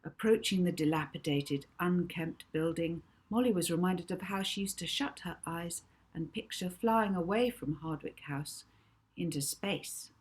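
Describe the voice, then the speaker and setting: Low-pitched, husky woman narrating a story. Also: measured pace. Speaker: author reading own children's novel. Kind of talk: scripted audiobook narration.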